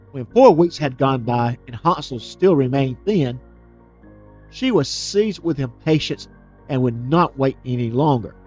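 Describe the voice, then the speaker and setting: A man reading a fairy tale aloud as a storyteller, expressive, with small slips and restarts. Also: medium pitch, highs cut off.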